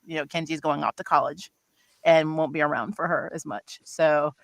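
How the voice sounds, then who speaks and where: A woman's voice: deep voice, normal speed, gravelly, no room sound, rough recording. A woman hosting a cast talk, chatting casually in a bubbly, joking manner. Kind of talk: casual chat.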